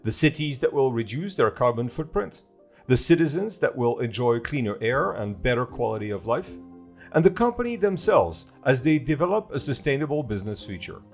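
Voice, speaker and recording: A male podcast host speaks in a formal, deliberate way, moving from a prepared introduction to his questions. His pitch sits at an average level. Faint music plays beneath him. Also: very animated, highs cut off. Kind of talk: scripted intro and interview questions.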